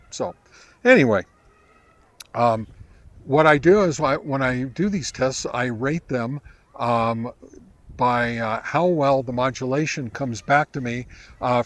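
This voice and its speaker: An American man giving a casual field-test review outdoors, speaking in a halting way as he thinks aloud. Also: mid-range voice. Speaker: amateur radio reviewer. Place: outdoors in a park.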